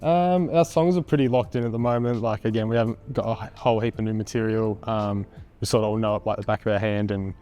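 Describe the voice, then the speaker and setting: A young Australian man with a raspy voice, a band frontman answering interview questions in a laid-back, hesitant way with lots of ums. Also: fairly low pitch, fairly even intonation, fast, dark tone, soft background music.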